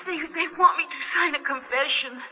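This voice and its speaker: Frightened, high-voiced young captive. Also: female voice, moderate pace, sharp tone. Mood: frightened, desperate. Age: young adult. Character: frightened, desperate young American student prisoner. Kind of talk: radio drama acting.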